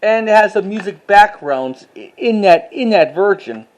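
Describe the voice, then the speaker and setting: Casual collector-vlogger, medium-pitched voice, halting delivery that often repeats and corrects itself. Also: expressive, slight background noise. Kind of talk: casual show-and-tell commentary.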